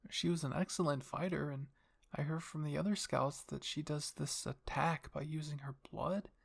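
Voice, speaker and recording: A man's voice: a little high, fairly even intonation, moderate pace, vocal fry, husky. A man voicing an earnest, hesitant young woman soldier, a dutiful daughter, in an audio story.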